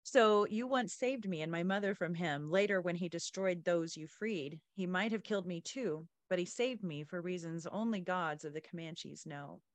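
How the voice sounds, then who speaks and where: Low-pitched woman hosting, dry close sound. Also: moderate pace, hardly any pauses, no room sound. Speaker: podcast host. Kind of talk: reading book excerpts and interviewing the author.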